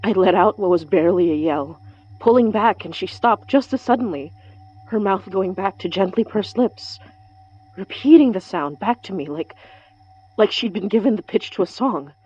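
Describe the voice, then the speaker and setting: An actress playing a compassionate supernatural investigator, narrating a horror audio drama in an introspective, wryly understated way. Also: female voice, medium pitch, expressive, normal speed, mellow, husky, muffled recording. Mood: haunted, tense. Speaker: narrator and lead character.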